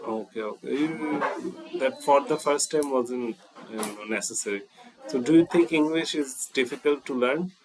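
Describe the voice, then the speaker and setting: Male English tutor, brisk corrective interviewer. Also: medium pitch, a crowd in the background, rough recording. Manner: corrective, quizzing, switching into Bangla for tips. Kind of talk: mock interview coaching.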